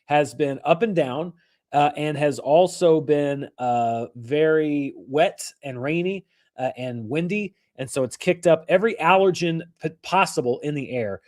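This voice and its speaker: A hoarse man hosting a casual live-stream about movie news, rambling and correcting himself as he goes. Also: a little high, measured pace, a little mellow. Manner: rambling, self-correcting, chatty. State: hoarse from allergies.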